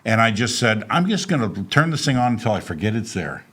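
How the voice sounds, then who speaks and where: Man, lowish voice, casual guest storyteller, animated, self-deprecating. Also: fast. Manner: animated, self-deprecating, laughs while telling stories.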